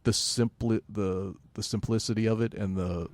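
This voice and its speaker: A man with a fairly low voice in a dry, close recording. He talks casually as a podcast co-host about pop culture, rambling a little, pausing and rewording his thoughts as he goes. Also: fairly even intonation, a little mellow, no echo. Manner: halting, rambling, self-correcting.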